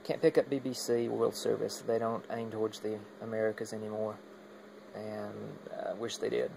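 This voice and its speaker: Man, even intonation, creaky voice, hesitant rambling hobbyist demo, casual, humming noisy background. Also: lowish voice, moderate pace. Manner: rambling, hesitant, trailing off mid-thought. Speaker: amateur radio hobbyist demonstrating equipment.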